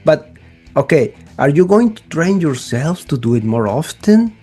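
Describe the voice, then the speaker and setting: A man's voice: fairly high pitch, big pitch swings, a little slow, dark tone, breathy edge, faint music behind. Eager male interviewer. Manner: enthusiastic, eager fan questions. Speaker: interviewer, show host. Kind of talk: casual interview conversation.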